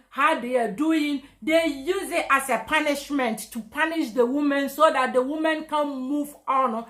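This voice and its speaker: A woman's voice: high voice, fairly bright tone. A woman vlogger giving an opinionated reaction monologue, repeating her points insistently. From Ghana. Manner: emphatic, repetitive, insistent.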